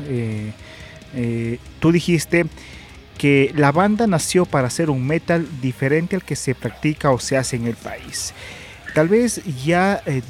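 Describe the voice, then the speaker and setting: Male podcast host, medium pitch, halting interview delivery, music underneath. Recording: music playing behind the voice.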